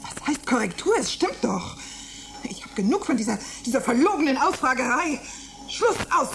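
A woman's voice: sits high, sing-song, moderate pace, a bit bright, slight background noise, exaggerated voice. An actress playing a bored, rebellious older woman in a radio play, grumbling and reciting with mocking scorn. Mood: fed up.

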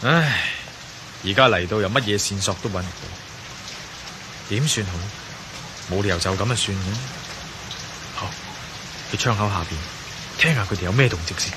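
An actor with a Hong Kong Cantonese accent playing a bold, suspicious, quick-tempered adventurer in a radio drama, thinking aloud theatrically. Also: male voice, on the low side, background noise, lightly acted. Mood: frustrated, brooding. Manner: dramatic, muttering to himself.

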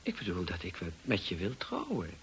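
An actor playing a brusque, teasing, moody gentleman in a Dutch radio drama, speaking in a dry, commanding way. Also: deep voice, huge pitch swings, normal speed, slight background noise, full character voice. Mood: brusque, insistent. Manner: dry, commanding, clipped questioning.

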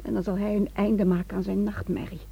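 A woman's voice: medium pitch, level tone, a little fast, creaky, breathy edge, highs cut off. An actress playing an anxious, indignant woman in a radio drama, fraught and accusing. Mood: horrified, accusing.

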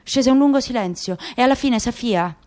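A woman's voice: on the high side, moderate pace, darkish tone. A woman giving a dramatic, scripted literary narration as an actress in a radio play.

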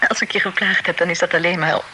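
A woman's voice: on the low side, steady tone, quick pace, sharp tone. An actress playing Suzanne, a frank young woman who likes to tease, in a radio drama. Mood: affectionate. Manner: teasing, playful. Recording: noisy old radio broadcast.

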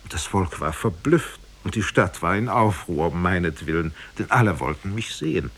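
A deep-voiced man tells a fairy tale in 1950s radio drama style. His delivery is formal and dramatic, with wide swings of intonation and a husky grain. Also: lively intonation, normal speed, a bit bright. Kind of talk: 1950s radio drama storytelling, scripted narration.

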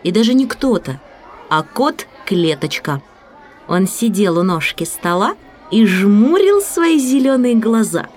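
A woman narrating a children's story as an expressive audiobook reader, with music behind her. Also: mid-range voice, measured pace, some chatter behind.

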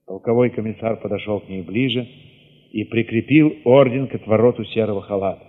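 A man narrating an audio drama in the first person, expressive and solemn, with weighty pauses. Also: lowish voice, big-room sound, phone-quality sound.

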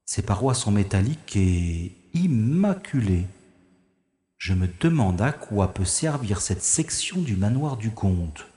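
A wry secret agent who murmurs his way through a manor at an unhurried pace, his low voice carrying a dry irony. The sound rings with a long, roomy reverb, and crickets chirp faintly behind him. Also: very animated, mellow. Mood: wary. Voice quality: dry, ironic inner monologue. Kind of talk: audio drama first-person narration. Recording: echoey room with long reverb.

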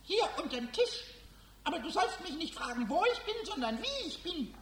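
A man voicing a cheeky, indignant, childlike little male kobold in a squeaky voice for a children's radio play. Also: sing-song, brisk, fairly bright tone, heavily acted. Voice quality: squeaky, high-pitched. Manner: stuttering repetitions, childish protesting. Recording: noisy 1970s radio play recording.

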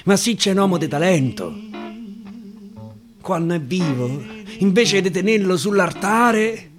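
A man's voice: high-pitched, expressive, measured pace. A man with a Roman accent gives a theatrical recitation of dialect verse, dramatically repeating phrases for emphasis. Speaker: stage actor and storyteller. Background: acoustic guitar.

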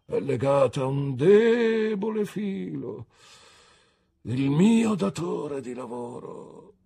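Guy reading as a pompous theatrical gent, flowery and gloomy, husky voice pushed higher. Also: lively intonation, takes it slowly, warm tone, full character voice. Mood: despairing. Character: pompous grandiloquent down-on-luck middle-aged man.

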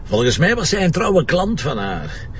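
An actor with a Flemish Belgian accent playing a shrewd, teasing police commissioner in a radio drama, wry and colloquial in manner. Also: male voice, on the high side, wildly swooping pitch, moderate pace, far from the mic, highs cut off, a little hiss or hum. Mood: musing, confident. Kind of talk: naturalistic radio drama dialogue.

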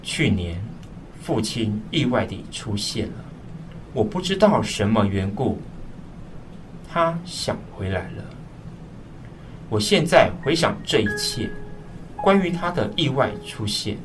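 A man's voice: medium pitch, big pitch swings, distant mic, background noise. A man playing a sorrowful eldest son, narrating a radio drama reflectively in Taiwanese-accented speech. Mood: wistful, sorrowful. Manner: reflective, melancholy, measured. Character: sorrowful eldest son recalling his broken family. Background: clear background music.

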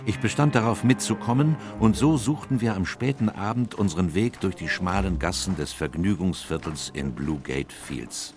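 An actor playing a loyal, worried Victorian gentleman who recounts events in an earnest, measured way for a radio drama. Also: sits low. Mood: apprehensive narration. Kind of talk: radio drama narration and dialogue. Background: music.

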